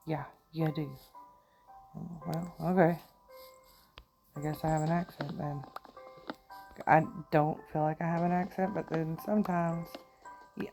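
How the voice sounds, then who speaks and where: A middle-aged woman with a country accent talks through her gameplay in a chatty, giggly way. Her voice sits low and mellow. Faint game music plays underneath. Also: vocal fry. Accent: Southern US country. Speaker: gamer. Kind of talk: casual gameplay commentary.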